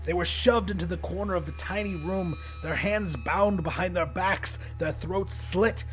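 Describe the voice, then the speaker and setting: A man's voice: high-pitched, measured pace, soft background music. A man narrating a horror audiobook in the first person, with an intense, theatrical delivery.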